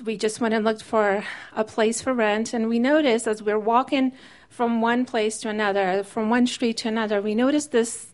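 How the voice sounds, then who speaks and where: A woman from Afghanistan telling a personal story on stage in a conversational, wry way with restarts. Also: a little high.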